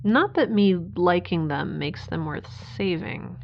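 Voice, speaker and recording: A woman's voice: on the low side, expressive, takes it slowly, gravelly, lo-fi sound, heavily acted. A woman playing a defiant young fugitive in an audio drama, sarcastic and conversational. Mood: wry.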